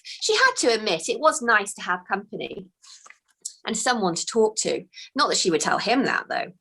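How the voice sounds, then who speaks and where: A woman author reading her children's book aloud with expressive, unhurried storytelling. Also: mid-range voice.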